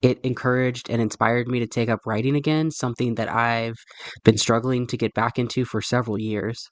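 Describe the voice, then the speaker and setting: A man with a medium-pitched voice records a listener message. It is a prepared personal testimonial, captured dry and close. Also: steady tone, a little fast, dry recording.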